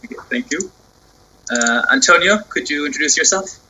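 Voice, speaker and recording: A man's voice: a little high, expressive, moderate pace, bright, forceful tone, low-quality recording. A man hosting an online meeting, briefly and politely handing the floor to each member. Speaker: meeting host. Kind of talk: moderating a meeting. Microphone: video call.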